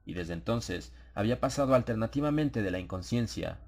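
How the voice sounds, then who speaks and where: A man narrating a dramatized horror audiobook in a measured, solemn storytelling manner. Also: lowish voice, animated, vocal fry, darkish tone. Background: ambient sound effects.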